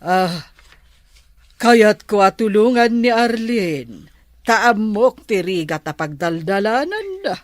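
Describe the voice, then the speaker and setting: A woman playing a sly, middle-aged aunt with drawn-out, syrupy sympathy in an Ilocano radio drama. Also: mid-range voice, animated, dry recording. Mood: syrupy concern.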